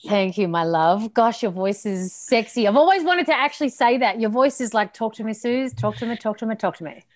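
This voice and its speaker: A woman coach talking as a guest in a casual podcast chat, relaxed and chatty, laughing easily. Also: on the high side, brisk, dry recording. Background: dog barking.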